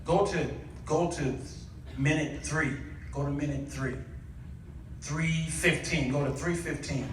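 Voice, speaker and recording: A man preaching an animated, colloquial sermon, repeating his punchlines and acting out bits of dialogue to draw responses from the congregation. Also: a little high, takes it slowly, slight background noise. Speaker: guest preacher. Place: church sanctuary before a responding congregation. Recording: very echoey hall, distant microphone.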